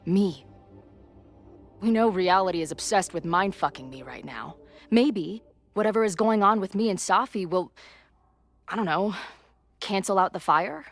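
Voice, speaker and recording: A sardonic, rough-edged young woman for a narrative video game. Her mid-pitched delivery is blunt and casual, with vulnerability under the swagger. Light music plays behind her. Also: measured pace, pauses often, no room sound. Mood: sardonic, defiant. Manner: blunt, sarcastic, slangy. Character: brash, foul-mouthed, sardonic young punk woman, secretly vulnerable. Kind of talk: naturalistic video game drama acting.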